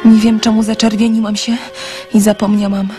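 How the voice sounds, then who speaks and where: A candid, sensitive girl of seventeen in a radio drama, played by a woman. She is trusting and earnest, confiding in a mid-pitched voice. Music plays faintly behind her. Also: flat delivery, normal speed, dark tone, close to an everyday voice. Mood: embarrassed recounting.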